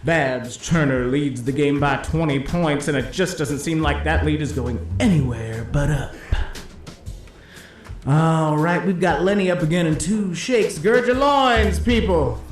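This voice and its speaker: A slick 1950s disc jockey, acted out by a man in a slightly high voice, calling bowling play-by-play over music in an echoing room. He is cheeky and theatrical, rolling out hepcat patter and stretching words for show. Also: lightly acted. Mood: wry, playful. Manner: showy hepcat patter with drawn-out flourishes. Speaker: play-by-play announcer. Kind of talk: 1950s radio-style sports commentary. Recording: roomy echo, music underneath.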